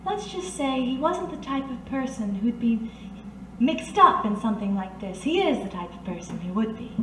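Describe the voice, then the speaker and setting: A woman's voice: fairly high pitch, very animated, moderate pace, bright, forceful tone. A woman playing a worldly, soothing working woman in an old-time radio detective drama, coaxing and unflappable. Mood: knowing, calm. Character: blind, worldly, soothing 1930s working woman who knows underworld secrets. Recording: roomy, distant amateur recording, faint noise.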